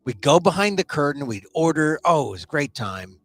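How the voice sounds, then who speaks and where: Male talk-show host, middle-aged, rambling and chatty, slightly high voice, animated. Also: a little fast, faint music behind. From United States. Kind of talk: casual solo talk show.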